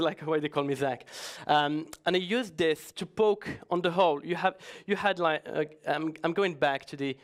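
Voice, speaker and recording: Joking man giving a conference talk. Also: on the high side. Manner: chatty, joking, hesitant, many restarts. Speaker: conference speaker.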